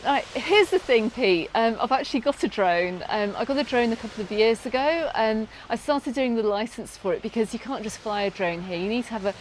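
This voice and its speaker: A woman's voice: on the high side, brisk, hardly any pauses, a little hiss or hum, rough recording. A British woman hosting a travel vlog, answering viewer questions in an upbeat, chatty way from a sunny beach, with frequent ums and restarts. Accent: British English. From Britain. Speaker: travel vlogger. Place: outdoors on a sunny beach, in a lifeguard tower.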